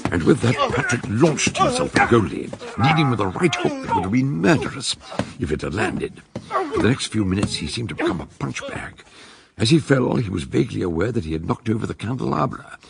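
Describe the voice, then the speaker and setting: An actor playing a measured male narrator, recounting his adventure suspensefully in a radio play. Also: mid-range voice, wildly swooping pitch, vocal fry, very noisy. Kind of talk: dramatic storytelling in a radio play.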